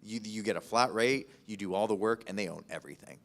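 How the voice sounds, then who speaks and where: A man speaking informally as a podcast producer on a panel, explaining things in a halting, rambling way. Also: lowish voice, creaky, a bit bright.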